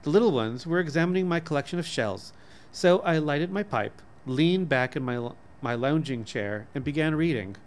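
A middle-aged man reading a short story aloud, haltingly, sometimes stumbling over words. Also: a little high, lively intonation. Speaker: reader. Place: small office indoors. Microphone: desk microphone, close.

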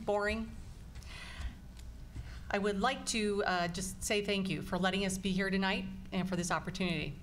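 A woman's voice: mid-range voice, fairly bright tone, slight background noise. A woman in her forties giving an earnest, upbeat candidate forum speech into a table mic in a meeting room. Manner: earnest, upbeat, emphatic lists. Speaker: political candidate. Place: meeting room with small audience.

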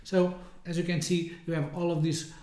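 A man in his thirties giving an informal, hesitant software demonstration, often rephrasing as he goes. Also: fairly high pitch, little pitch movement, normal speed, very noisy. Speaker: software tutorial presenter. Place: indoors, small room with window blinds. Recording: roomy, echoey indoor sound.

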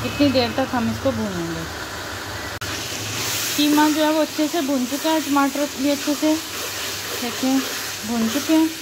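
A woman, calmly explaining each cooking step as a recipe voice-over. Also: high voice, measured pace, pauses often, background noise. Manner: calm, instructive, points things out to viewer. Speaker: cooking channel host. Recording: loud frying sizzle behind voice.

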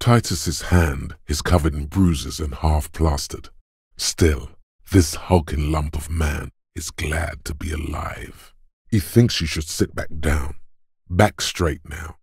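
A rumbling, very deep macho coach. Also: male voice, slow pace, a little mellow, no room sound, clean studio sound, full character voice. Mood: slow narration, then blunt, goading. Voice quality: gravelly, husky. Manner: commanding, taunting, blunt. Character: gruff, macho drill-coach inner voice of physical strength. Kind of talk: video game voice acting.

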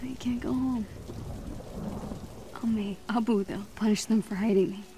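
A woman's voice: on the high side, fairly even intonation, normal speed, background noise, lightly acted. A woman voicing a plucky, anxious teenage girl superhero in a video game, talking to herself in a wry way. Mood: self-reproach, worried.

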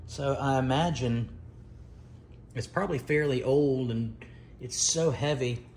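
A middle-aged man giving a casual, unscripted show-and-tell, halting and pausing mid-sentence. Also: mid-range voice, darkish tone, a little hiss or hum. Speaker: retro computer vlogger. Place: dining room at home, indoors.

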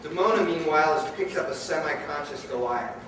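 A man's voice: a little high, a little fast, fairly bright tone. A man reading scripted narration for a radio play staged live. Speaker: narrator and director. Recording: very echoey hall, far mic, noisy.

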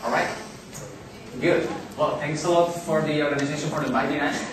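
Spanish-accented male presenter, thirties, halting delivery; distant, very echoey hall recording. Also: fairly high pitch, steady tone, crowd noise. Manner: halting, many fillers and restarts. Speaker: conference speaker. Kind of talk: business presentation. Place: large hotel conference hall. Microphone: far camera in the audience.

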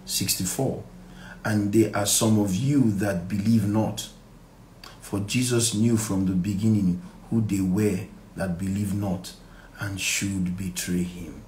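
A middle-aged man with a Nigerian accent teaches scripture in a fairly low voice. He speaks with deliberate emphasis, repeating key phrases and posing questions to his listeners. The phone recording has clear room echo and a little background noise. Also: fairly even intonation, a little mellow. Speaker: pastor leading a Bible study. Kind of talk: live Bible study, reading verses aloud and explaining them. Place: small indoor room. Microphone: phone at arm's length.

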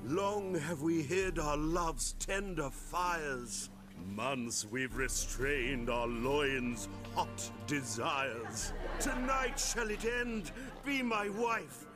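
A man's voice: on the high side, lively intonation, drawn-out words, over music. A man playing a foppish lovestruck prince in a stage play, hamming up rhymed verse. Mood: wooing. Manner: melodramatic, hammy verse declamation. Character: foppish male prince, lovestruck shapeshifter in disguise.

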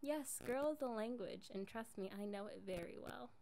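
A woman's voice: fairly high pitch, normal speed, warm tone, natural voice. A young woman playing an affectionate, jealous girlfriend in an improvised ASMR roleplay, casual and coaxing in an intimate way. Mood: jealous, defensive.

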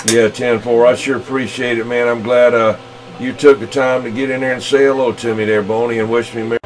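A man's voice: mid-range voice, flat delivery, brisk, rough recording. A man chatting casually as a CB radio operator, folksy and slangy in his talk. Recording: CB radio session.

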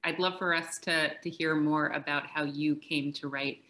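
Woman asking questions on a laptop call, low flat voice, kinda hesitant. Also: normal speed. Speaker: moderator. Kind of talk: video call interview. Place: home living room by a bright window.

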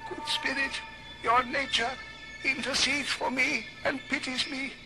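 An actor playing a frightened old miser in an old radio drama, theatrical and imploring. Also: male voice, very high-pitched, fairly even intonation, a little slow, creaky, exaggerated voice. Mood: desperate pleading. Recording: old record with music underneath.

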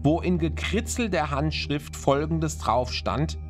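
A man narrating a scripted horror story in a measured, dramatic manner. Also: on the high side, soft background music.

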